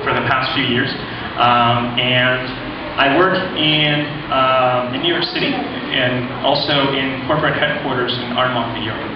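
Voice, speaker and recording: Man in an echoing hall. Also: mid-range voice. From Puerto Rico. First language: Spanish. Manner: halting, friendly, with many pauses. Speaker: visiting corporate volunteer presenter. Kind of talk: informal slide presentation to an audience. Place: large echoing presentation room. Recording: echoey, distant, dull lo-fi, noisy.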